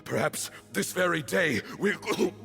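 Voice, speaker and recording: A frail old temple leader in a video game, grand and fanatical. The voice is weak and strained, very slow and halting, and sits fairly high. It rings in a long reverb. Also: male voice, expressive, creaky, bright, forceful tone, full character voice. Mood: solemn, devout. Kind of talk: dramatic video game acting. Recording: long reverb, faint music behind.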